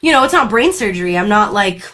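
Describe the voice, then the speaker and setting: A young woman from Brazil, a native Portuguese speaker, chatting as an actor guest in an interview, lively, laughing often and restarting her sentences. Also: medium pitch, fast talker. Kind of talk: casual interview chat.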